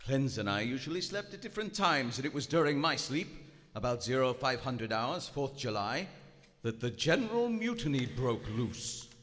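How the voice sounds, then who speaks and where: A man's voice: medium pitch, animated, a bit bright, close to an everyday voice. Man acting a stern submarine captain, echoey hall. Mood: grim, detached. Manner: stern, formal, measured. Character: proud, cold German WWI submarine captain, narrating his log. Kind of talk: live radio theater acting. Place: on stage in a large theater. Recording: echoey theater hall, live stage recording.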